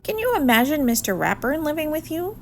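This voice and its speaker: A woman's voice: high voice, sing-song, a little fast, a little hiss or hum, voice pushed up. A woman voicing cheeky, teasing schoolchildren, classmates in a storybook reading. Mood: cheeky, envious. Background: music.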